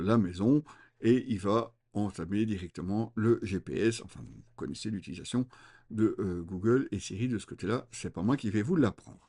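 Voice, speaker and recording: Mellow-voiced man reviewing gear. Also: lowish voice. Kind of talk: unscripted product review, presenting to viewers.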